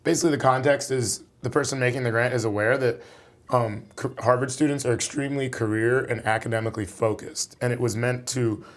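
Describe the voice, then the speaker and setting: Young adult man, podcast guest, easygoing and chatty, mid-pitched, stops and restarts often. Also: fairly quick. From United States. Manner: relaxed, rambling, many fillers and restarts. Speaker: podcast guest, jazz saxophonist. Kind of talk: casual interview chat.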